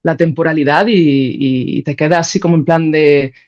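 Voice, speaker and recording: A woman's voice: deep voice, fairly even intonation, normal speed, darkish tone, lo-fi sound. A woman with an accent from Spain, explaining ideas in a casual, colloquial conversation as a podcast guest, thinking aloud. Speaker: podcast guest, composer and sound creator.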